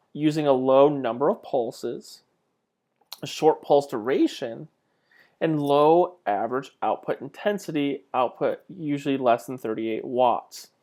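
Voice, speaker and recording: A man's voice: mid-range voice, darkish tone. A man with a mid-range voice narrates a lecture in an informal, conversational way. He speaks as an instructor addressing students, leaning on key terms for emphasis and posing rhetorical questions. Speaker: college instructor.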